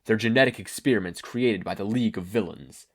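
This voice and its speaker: A man playing a proud, gruff, easily offended male superhero who talks in a forceful, scornful way. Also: on the low side, a little fast, lightly acted. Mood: scornful, irritated.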